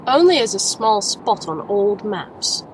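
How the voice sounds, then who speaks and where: A woman playing a grave female alchemist who recounts her quest in measured, dramatic audio drama narration. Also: mid-range voice, big pitch swings, a little hiss or hum, poor audio. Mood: solemn. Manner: measured, solemn storytelling. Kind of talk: dramatic scripted first-person narration.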